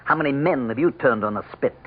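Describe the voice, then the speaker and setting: An actor playing the Devil posing as a suave political aide in a radio mystery drama, taunting and insinuating with cool control. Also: male voice, medium pitch, quick pace, slightly rough, close to an everyday voice. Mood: mocking. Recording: muffled lo-fi vintage radio broadcast.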